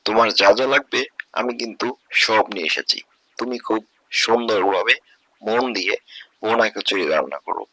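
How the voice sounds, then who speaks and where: Man as a bright-voiced poor village father. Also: fairly low pitch, normal speed, long pauses, dry recording. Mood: caring, reassuring. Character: poor, caring village father, anxious but reassuring. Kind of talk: Bengali cartoon story dubbing.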